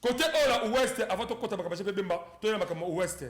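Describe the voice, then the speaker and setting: A man hosting a political talk show, animated and prompting his guests. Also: high-pitched, quick pace, roomy sound. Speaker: debate host.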